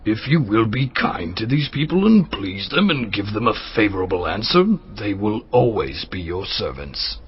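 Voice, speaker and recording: A man playing a kindly, wise old royal counsellor in a dramatized scripture reading, gentle in his advice. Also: on the low side, sing-song, moderate pace, hardly any pauses. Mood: gentle, advising. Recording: dull lo-fi.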